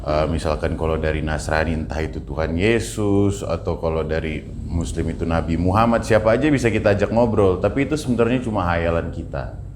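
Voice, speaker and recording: Deep-voiced man, casual storyteller. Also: a little fast, noisy background. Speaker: interview guest. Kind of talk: casual personal account.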